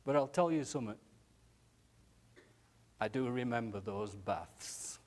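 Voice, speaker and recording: A man voicing an elderly widowed nursing home resident who talks slowly, haltingly and tenderly, often saying "aye". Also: medium pitch, voice pushed down, lightly acted. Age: older. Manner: slow, halting, chuckling, says "aye" often.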